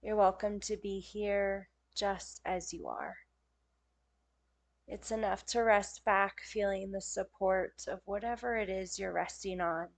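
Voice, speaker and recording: A woman speaks very slowly as she guides a relaxation meditation. Her pitch sits in a medium female range. She gives gentle, soothing instructions and leaves space between short phrases. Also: steady tone, gravelly. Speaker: meditation teacher. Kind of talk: guided yoga nidra meditation.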